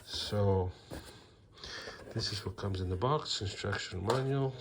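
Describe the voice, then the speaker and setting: A man's voice: fairly low pitch, takes it slowly, background noise. A man with a Mexican accent casually unboxing and reviewing a microphone in his woodworking shop, rambling with dry self-mocking humor and many fillers. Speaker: woodworking channel host.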